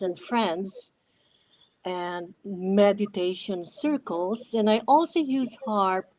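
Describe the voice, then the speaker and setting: A woman in her sixties with a Filipino accent, speaking reflectively and deliberately as an interview guest. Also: mid-range voice, darkish tone, poor audio. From Philippines. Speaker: podcast guest, retired educator.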